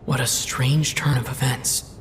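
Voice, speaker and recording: A man's voice: medium pitch, fairly even intonation, unhurried, natural voice. An actor playing a bemused, pensive man in a video game, musing casually. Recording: clear background ambience noise. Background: ambient noise.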